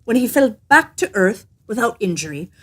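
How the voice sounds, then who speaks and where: Woman storyteller, fairy-tale narration, fairly high voice, very lively intonation. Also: moderate pace.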